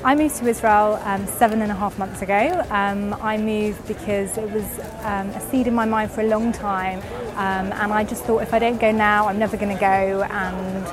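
A woman's voice: medium pitch, fairly even intonation, normal speed, hardly any pauses, music in the background. Upbeat agency woman. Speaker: emigration agency worker. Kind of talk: documentary interview.

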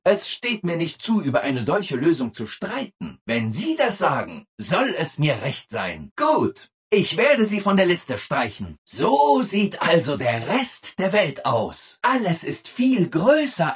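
Over-eager robot assistant, video game character, hugely swooping intonation, very husky rough-edged tone, relentlessly cheerful. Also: male voice, high-pitched, fairly bright tone, full character voice. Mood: excited, helpful, then bright, agreeable. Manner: chirpy, over-eager, exaggeratedly obliging.

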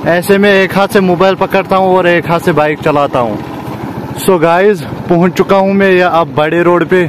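A man, chatting casually to his viewers as a vlogger and repeating phrases for emphasis, on a handheld phone outdoors. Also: high-pitched. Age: 20s. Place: outdoors on village roads, paths and by water. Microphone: handheld phone at arm's length. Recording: noisy outdoor phone recording.

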